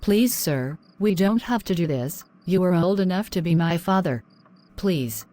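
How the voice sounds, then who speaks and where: A woman's voice: on the low side, animated, unhurried, dark tone. A young woman, acting a frightened student who pleads timidly. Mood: pleading. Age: young adult. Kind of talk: amateur drama acting.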